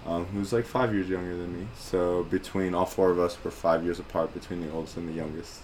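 A man's voice: low voice, steady tone, brisk, a little mellow, a little hiss or hum, poor audio. A man in his twenties co-hosting a podcast, talking in a laid-back, rambling way with lots of fillers. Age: 20s. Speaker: podcast co-host. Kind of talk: casual chat.

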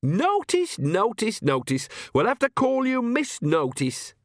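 A man's voice: fairly high pitch, sing-song, normal speed, no room sound, clean studio sound, pitched above the performer's own voice. A man voicing an earthy, shrewd old woman witch with a blunt, folksy, teasing manner in an audiobook. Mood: brisk teasing.

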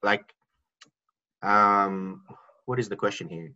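A rambling man with a lowish voice chats with his mates on a podcast, often restarting his sentences. The recording sounds dull and lo-fi. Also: fairly even intonation, slow, sharp tone. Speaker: podcast co-host. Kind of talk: casual podcast banter.

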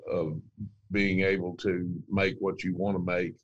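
A man's voice: low-pitched, completely flat delivery, a little slow, dull audio. A man working as an account manager, talking casually in an interview in an earnest, plainspoken way with frequent fillers.

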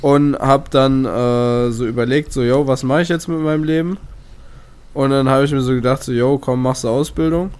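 A man's voice: medium pitch, fairly even intonation, normal speed, a little mellow, clear, resonant tone. A man in his early twenties co-hosting a podcast, laid-back and slangy, often laughing. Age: early 20s. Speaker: podcast co-host. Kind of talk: casual chat. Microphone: own home microphone setup.

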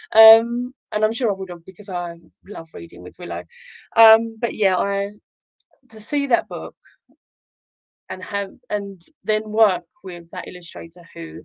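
A woman's voice: mid-range voice, gravelly, dry recording, low-quality recording. A woman hosting a casual livestream, chatting in a rambling, self-deprecating and effusive way. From Essex, England. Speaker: live show host, children's author.

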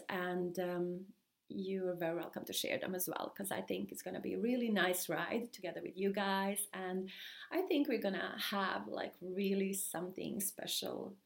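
Swedish-accented woman with a gravelly voice. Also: medium pitch. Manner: chatty and excited, many fillers and restarts. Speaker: podcast host. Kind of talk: solo podcast intro, casual monologue.

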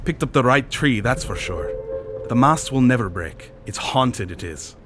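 A man's voice: medium pitch, expressive, measured pace, over music, voice pushed up, natural voice. A man voicing a seasoned, superstitious sailor with folksy rustic speech and a wry, reassuring manner in a storybook reading. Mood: assured, proud. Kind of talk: audiobook character dialogue.

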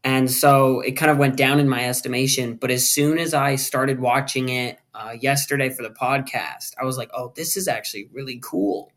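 A man's voice: medium pitch, fairly even intonation, normal speed, hardly any pauses, a bit bright. A man in his twenties hosting a podcast, chatting and teasing his co-host about a film. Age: 20s. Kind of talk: casual movie review chat.

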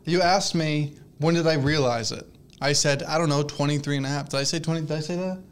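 A man's voice: a little high, a little fast, clear, resonant tone. A man in his twenties in casual podcast chat, rambling with lots of fillers and restarts, laughing easily and getting flustered when teased. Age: 20s. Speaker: podcast co-host.

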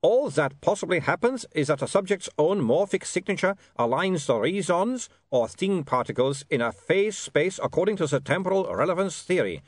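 A man's voice: fairly high pitch, animated, normal speed, few pauses, no echo, clean studio sound, voice pushed up. A man voicing a polite, fussy, long-suffering foreign vampire in stilted, formal phrasing for a story. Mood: breezily explanatory.

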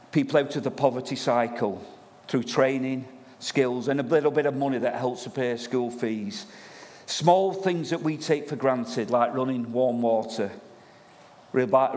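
Loud preacher in a reverberant church. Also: male voice, mid-range voice. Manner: loud, lively, chatty preaching. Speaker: pastor. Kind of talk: sermon. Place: church hall. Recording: long reverb of a church hall.

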